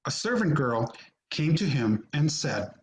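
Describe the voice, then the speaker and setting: A man narrating scripture from a script in a deliberate, solemn manner. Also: on the high side, sing-song, poor audio. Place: remote recording on a video call. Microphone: video call.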